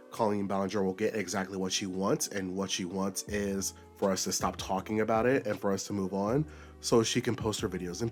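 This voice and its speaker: Hoarse, nasal man ranting on his channel. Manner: emphatic, with sudden high-pitched bursts. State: raspy, slightly nasal from a recent illness. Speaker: commentary YouTuber host. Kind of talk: unscripted rant commentary.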